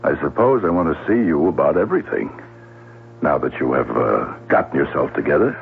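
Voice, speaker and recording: Humming narrowband radio. probing police lieutenant: very low, gravelly, deliberate. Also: male voice, big pitch swings, heavily acted. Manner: deliberate, pointed questioning. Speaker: actor. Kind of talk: 1970s radio drama acting. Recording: narrowband old radio broadcast with hum.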